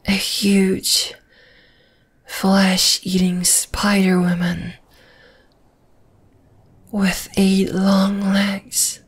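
A woman playing a dominating, flesh-eating spider monster in an ASMR roleplay, whispering in a breathy, teasing, menacing way. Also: mid-range voice, heavily acted. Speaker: voice actor.

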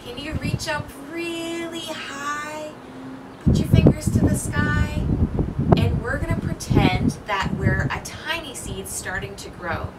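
A woman in her thirties hosting an interactive storytime for young children, animated and deliberate, recorded outdoors at a distance from the microphone. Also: high-pitched. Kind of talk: interactive picture-book read-aloud for young children. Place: outdoors on an apartment balcony. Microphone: camera microphone a few feet away. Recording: outdoor balcony, distant mic, ambient noise.

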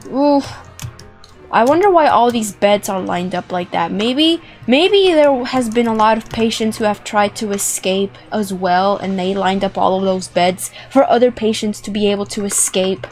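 A young woman giving playful, excitable, dramatic commentary as she plays a video game. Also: medium pitch, a little slow. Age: young adult. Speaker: gaming video host. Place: indoor room with plush toys. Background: music.

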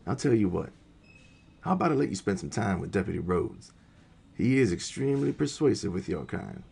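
An actor playing a sardonic, menacing police captain in an audio drama, with a gravelly rasp and a taunting, deliberate manner. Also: male voice, fairly low pitch, animated, mellow, heavily acted.

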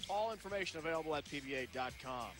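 A man doing play-by-play on a televised bowling match, brisk and upbeat, over music and crowd noise. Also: on the high side, animated. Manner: brisk, upbeat promo delivery. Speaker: play-by-play announcer. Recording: broadcast mix with music and crowd.

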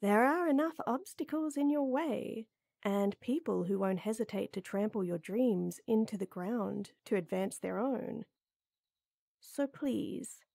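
A woman playing a tall, tender, teasing lady knight in an intimate roleplay audio, coaxing softly. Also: on the high side, no room sound, clean studio sound, exaggerated voice. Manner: soft, teasing, coaxing, with breathy near-whispers.

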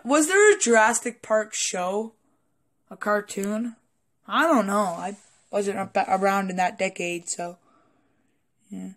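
A woman who is a little sick and hoarse, rambling casually and hesitantly through a toy collection review with some swearing, recorded on a handheld phone at a table indoors. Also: mid-range voice. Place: indoors at a wooden table in a home room.

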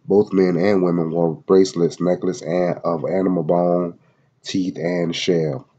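A man's voice: low-pitched, flat delivery, a little slow, warm tone, breathy edge, dull audio. A man with a head cold reading web pages aloud in a casual video, with slangy asides and many fillers. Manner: loose slangy asides between read passages, many fillers. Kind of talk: casual screen-recorded reading and commentary. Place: at home in Florida.

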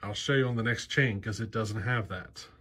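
A man's voice: fairly low pitch, normal speed, a bit bright, husky. A man in his forties, a chainsaw channel host, explaining things casually in a practical, plain-spoken way with frequent ums and you-knows, recorded on a close handheld camera in a cluttered workshop. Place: cluttered workshop garage, indoors.

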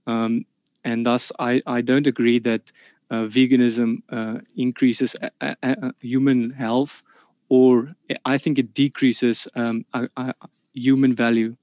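A man's voice: mid-range voice, level tone, unhurried, a little mellow, dry recording. A man whose first language is Afrikaans, giving an online debate statement with many fillers and restarts over a video call. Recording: dull, slightly lo-fi dry sound.

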